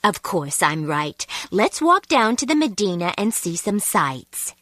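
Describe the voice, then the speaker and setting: Brisk game holidaymaker, bossy and matter-of-fact, expressive voice, dry recording. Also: female voice, mid-range voice, no room sound. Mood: coaxing. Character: brisk, bossy, practical woman tourist.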